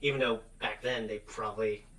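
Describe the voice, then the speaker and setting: Guy rambling away excitedly in a fan chat, talks really quick. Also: fairly low pitch, low-quality recording. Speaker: co-host of a reaction show. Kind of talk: casual fan discussion.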